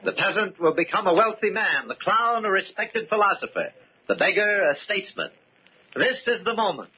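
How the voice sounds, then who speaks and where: A man's voice: high voice, sing-song, moderate pace, slightly rough, exaggerated voice. An actor playing a theatrical man hosting a masquerade ball, in 1940s radio acting, on a muffled old radio recording. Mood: grandiose. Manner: grand, theatrical announcing. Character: Ben, male host of the masquerade, showman turned killer suspect.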